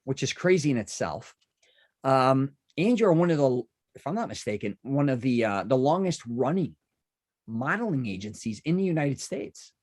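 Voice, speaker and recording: A man's voice: mid-range voice, very animated, moderate pace. A man from Chicago hosting a podcast, chatting casually and rambling with many asides and restarts. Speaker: podcast host. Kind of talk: casual interview chat.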